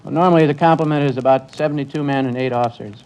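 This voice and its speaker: An American man speaks as a naval commander being interviewed on a quiz show. He is polite and earnest, choosing his words in a measured way at a middling pitch. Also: steady tone, a little mellow, slight background noise, poor audio.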